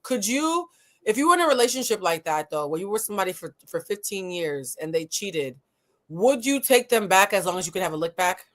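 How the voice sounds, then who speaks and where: A young woman from Boston hosting a casual chat show, playful and teasing, quick with her questions. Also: mid-range voice, very animated. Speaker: host.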